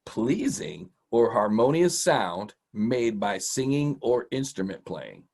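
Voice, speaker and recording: Male host, mid-pitched and husky, folksy and encouraging, teaching words to young readers. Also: very animated, slow pace, darkish tone. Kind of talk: casual children's vocabulary lesson.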